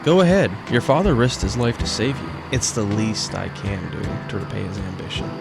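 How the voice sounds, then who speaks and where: Under loud music, a man voices a loyal cat-and-dog creature in a radio drama. The voice sits fairly low and talks in a wry, conversational way. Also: moderate pace, creaky. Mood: friendly. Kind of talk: amateur radio drama acting.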